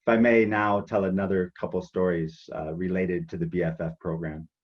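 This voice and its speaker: A man with a lowish voice walks through a prepared presentation at an even, measured pace. The recording sounds somewhat dull and lo-fi. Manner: measured, listing programs. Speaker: international outreach presenter. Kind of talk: scripted presentation.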